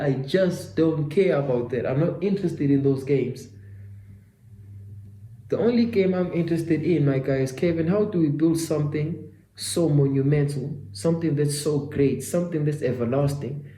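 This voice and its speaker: A man's voice: on the high side, moderate pace, mellow, roomy sound, slight background noise. A man in his twenties giving a faith-driven motivational talk, repeating phrases and calling the listener "my guy.". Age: 20s. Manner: preachy, repetitive, addresses himself and listener as "my guy". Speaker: self-development vlogger. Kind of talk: motivational monologue.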